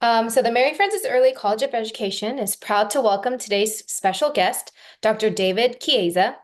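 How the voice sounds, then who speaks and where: A woman's voice: on the high side, normal speed. A young woman, a student host, reading a prepared introduction of a guest speaker with a few small slips. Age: young adult.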